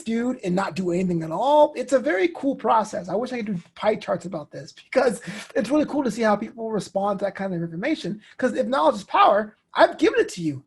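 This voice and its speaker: A man in his thirties talking casually as an interview guest, telling animated stories with slang and acted-out quotes. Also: sits high, slightly rough. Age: 30s. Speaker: interview guest, DJ, comedian and host.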